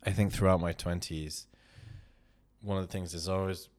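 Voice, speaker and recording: A man's voice: low-pitched, fairly even intonation, moderate pace, darkish tone. A man of thirty, co-hosting a podcast in loose, rambling chat, often restarting sentences and laughing. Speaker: podcast co-host. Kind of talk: casual chat. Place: London.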